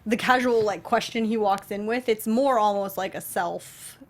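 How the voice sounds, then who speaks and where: A woman's voice: mid-range voice, moderate pace. A woman co-hosting a talk show, chatting casually in a discussion. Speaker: show co-host. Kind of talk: casual film and game discussion.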